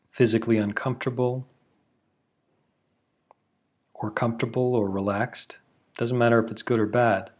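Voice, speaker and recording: A man leading a guided meditation, giving slow, soothing instructions with long pauses. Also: fairly low pitch. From Canada.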